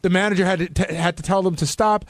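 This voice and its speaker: A man's voice: high-pitched, steady tone, fast talker, no echo. A man who is a radio show regular, talking in an insistent, challenging way in an unscripted studio discussion.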